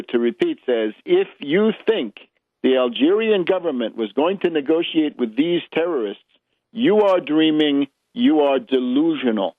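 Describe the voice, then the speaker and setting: A man hosting a radio show, giving opinionated political commentary with emphatic, deliberate, halting phrasing and a mocking edge. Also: medium pitch, dry recording. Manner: emphatic, rhetorical questions, mocking when quoting. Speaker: radio host. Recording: narrowband, muffled radio broadcast sound.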